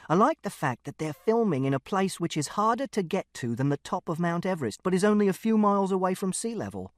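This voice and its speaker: A man's voice: high-pitched, no room sound, clean studio sound. A man voicing an earnest, literal-minded teenage boy who narrates an audiobook in a precise, matter-of-fact way. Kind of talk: first-person audiobook narration.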